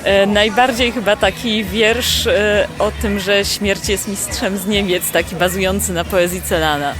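A woman giving short, halting vox-pop answers. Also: medium pitch, fairly even intonation. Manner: terse, halting replies. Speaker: festival visitor interviewed. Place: outdoor festival. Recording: music and crowd behind. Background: music and crowd.

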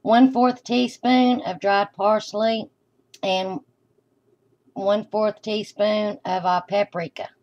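A woman's voice: mid-range voice, fairly even intonation, slow, a little mellow. A woman giving plain, folksy step-by-step cooking instructions as she works at the stove in a home kitchen. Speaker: home cooking show host. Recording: dull lo-fi camera audio, kitchen noise.

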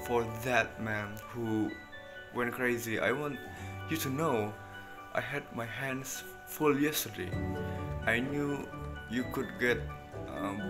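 A young man plays a small-town sheriff in a student stage play. He speaks very slowly and haltingly, at a middle pitch, with music clearly behind him. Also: fairly even intonation, close to an everyday voice. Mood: halting, matter-of-fact. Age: young adult. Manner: halting, hesitant reading of lines. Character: Henry Peters, small-town sheriff reporting on the scene. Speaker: student actor in a class play. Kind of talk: amateur stage-play acting. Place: indoor kitchen.

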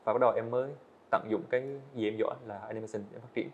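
A man's voice: fairly low pitch, flat delivery, very fast, slight background noise. An eighteen-year-old man, telling his story candidly as a podcast guest in a studio. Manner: earnest, candid, hesitant restarts. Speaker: guest animator. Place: indoor studio set. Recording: dull lo-fi sound.

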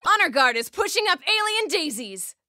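Game unit, female scout driver: young, cheeky, jumpy, very high bright voice, clipped military calls with wry asides. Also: very animated, moderate pace, dry recording, studio quality, heavily acted. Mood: pleased, cocky. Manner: clipped radio-call phrasing with wry quips. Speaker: game voice actor.

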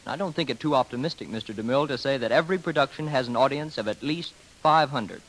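A man's voice: medium pitch, fairly bright tone, a little hiss or hum, poor audio. This is a man giving a semi-scripted interview on 1930s radio. He sounds formal and earnest, with a mid-range voice and long, wordy sentences. The recording has the sound of a dated broadcast. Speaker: guest expert on community theater.

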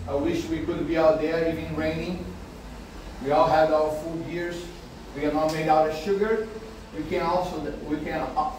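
A man whose first language is Portuguese, speaking earnestly and apologetically to a seminar audience as race organizer, with halting restarts. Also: a little high, darkish tone, slight background noise. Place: meeting room with large windows. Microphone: far camera. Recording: echoey room from far off.

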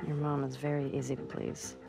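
A woman playing a weary, gentle young survivor in a video game cutscene, with a soft, understated voice. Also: a bass voice, monotone, a little fast, gravelly, over music, heavily acted. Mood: teasing. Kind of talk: naturalistic game cutscene acting.

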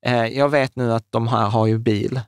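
Thirtysomething guy cohosting a podcast, eager, keeps restarting, bit of fry, dry studio mic. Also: medium pitch, fairly even intonation, no room sound. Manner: enthusiastic, restarts and fillers, gestures while talking. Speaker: podcast co-host. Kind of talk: casual explanatory chat. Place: small studio room with curtains and plants. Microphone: large studio mic on a table stand.

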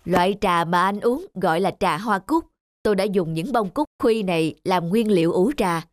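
An actor playing a proud, gentle tea-making gardener in a children's cartoon, explaining in a measured, lyrical way. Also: male voice, high voice, dry recording, studio quality, close to an everyday voice. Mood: proud, calm. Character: proud, gentle male gardener who makes flower tea. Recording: loud children's music behind.

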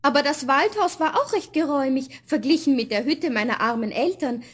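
A woman's voice: high-pitched, a little fast, lightly acted. An actress playing a kind, modest poor young girl in a fairy-tale audio play, earnest and artless.

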